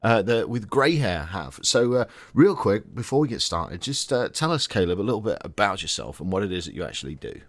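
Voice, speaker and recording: Male podcast host, low-pitched, friendly chatty delivery, dry recording. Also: big pitch swings, a little fast, few pauses, no echo. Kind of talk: casual podcast interview.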